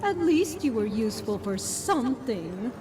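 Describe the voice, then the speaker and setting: Contemptuous undead mare sorceress played by a woman, fairly high, slow delivery, distant sound over music, audio drama villain. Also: sing-song. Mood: smug contempt. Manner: slow, condescending. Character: undead rot-corrupted female pony sorceress, contemptuous, menacing.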